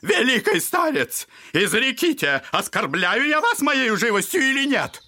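A man's voice: very high-pitched, very animated, a little slow, pushed and bright, exaggerated voice. An actor playing a garrulous, clownish old father in an audio drama, fawning and theatrical, rambling on with mock politeness. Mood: fawning, needling.

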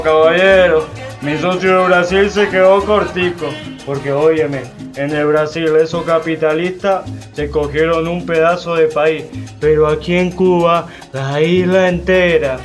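An actor playing a folksy, indignant veteran cane cutter with a Cuban accent, colloquial and exclamatory in a radio drama. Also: male voice, high-pitched. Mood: lively, wry. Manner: colloquial, exclamatory, vivid storytelling. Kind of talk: radio drama monologue in casual talk. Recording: faint music behind.

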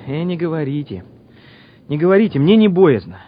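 An actor playing a quiet young soldier who talks haltingly and puts on bravado before battle, in a Soviet radio drama. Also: male voice, on the high side, sing-song. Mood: nervous bravado. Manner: halting, repeats himself. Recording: muffled old radio drama recording, noisy.

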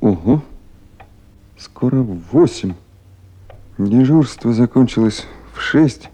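An actor playing a tactful, deferential duty officer in a radio drama, speaking in a restrained, musing way. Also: male voice, mid-range voice, lively intonation, slow, warm tone, husky. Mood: musing, subdued. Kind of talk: 1970s Soviet radio drama acting.

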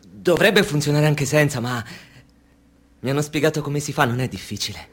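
An actor in a radio play playing a guarded young man who is tense with strangers and gentle with the woman. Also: a little high, moderate pace, breathy edge.

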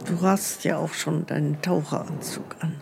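A woman playing an anxious, forgetful elderly care-home resident in a radio play, hesitant and plaintive, with a creaky voice. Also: low voice, fairly even intonation, normal speed, a little mellow, slight background noise. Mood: naive, matter-of-fact.

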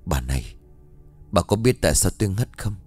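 Man voicing a low, grieving old father. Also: quick pace, a little mellow, soft background music, lightly acted. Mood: weak, grave. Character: grieving older father, shaken and grave, hushed.

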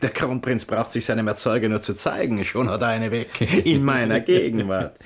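A man's voice: lowish voice, normal speed, close to an everyday voice. A man playing a dry, mocking court envoy. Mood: needling. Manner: dry, needling. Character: shrewd, mocking male imperial envoy. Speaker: imperial envoy. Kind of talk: radio play acting.

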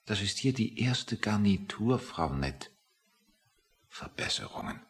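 A man's voice: fairly low pitch, very animated, slow pace, slightly rough, full character voice. A man acting a skeptical, status-minded official in a radio play, speaking in a dry, condescending, musing way. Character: skeptical, status-minded male official waiting outside a meeting.

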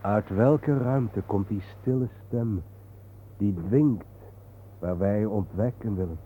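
An actor playing a weary, haunted man who guides a companion, speaking gravely and reflectively in a radio drama. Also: lowish voice, takes it slowly. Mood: brooding, poetic. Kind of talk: radio drama acting, at times poetic. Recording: tinny narrow-band old radio recording, hissy.

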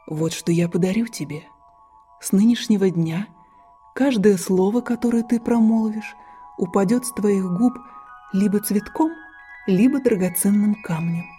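A woman voicing a calm, stern female fairy in a fairy-tale reading, speaking in slow, measured pronouncements. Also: mid-range voice, faint music behind, close to an everyday voice. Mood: gentle. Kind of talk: storybook reading.

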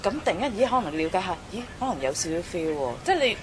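A woman's voice: fairly low pitch, very animated, fast talker, background noise. A woman with a Hong Kong Cantonese accent giving casual advice in a colloquial, explanatory way with hypothetical examples, people chattering behind her. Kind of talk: casual discussion of dating advice.

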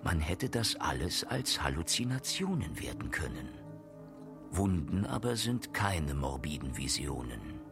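An actor playing a grave male chronicler, narrating dark history solemnly in a radio drama. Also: extremely low pitch, big pitch swings, measured pace, full character voice. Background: music.

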